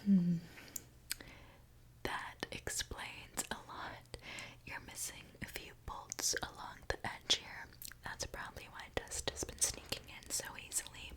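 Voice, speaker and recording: A woman speaks in a soft, breathy whisper at a slow, unhurried pace. She plays a friendly, caring mechanic in an ASMR roleplay. Her manner is gentle and reassuring throughout. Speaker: ASMR performer playing a robot mechanic.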